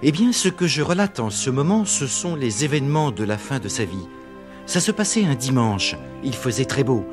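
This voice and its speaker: A man's voice: mid-range voice, sing-song, moderate pace, natural voice. A man playing a storyteller who recounts Gospel events to children in a cartoon. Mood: storytelling. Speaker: narrator. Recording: loud music behind the voice.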